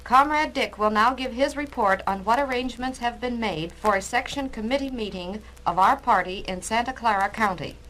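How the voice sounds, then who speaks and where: A woman's voice: fairly high pitch, normal speed, hardly any pauses, a bit bright, lightly acted. A woman playing a stern, businesslike communist cell organizer in a formal, authoritative way, on a dramatized spoken-word record. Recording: noisy 1960s spoken-word LP.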